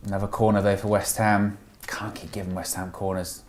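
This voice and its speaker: An excitable young man gives running commentary on a live match with fellow fans. He calls the action in quick bursts, rising as chances open up, then settles back into casual chat. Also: fairly low pitch, crowd noise. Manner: excitable play-by-play reactions.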